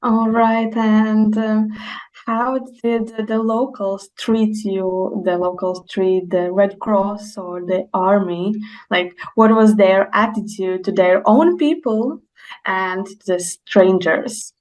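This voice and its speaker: A woman's voice: medium pitch, steady tone, slow pace, a little mellow, poor audio. A woman interviewing a guest, asking loose questions full of fillers.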